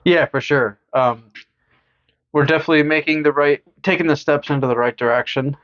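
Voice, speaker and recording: A man's voice: fairly high pitch, steady tone, fairly quick, a bit bright, lo-fi sound. A young man co-hosting a horror movie podcast in casual chat, upbeat and playful, laughing often. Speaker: podcast co-host.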